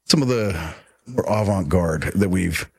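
A man's voice: deep voice, expressive, a little slow, creaky, breathy edge. A man in his forties hosting a casual band interview in a living room, rambling and friendly with many restarts. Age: 40s. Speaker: podcast host.

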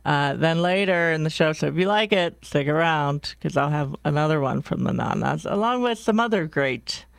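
An older woman with a deep voice hosting a community radio show in casual chat. She rambles with enthusiasm and often corrects herself mid-sentence. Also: lively intonation, moderate pace, vocal fry, hardly any pauses, no room sound. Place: radio studio.

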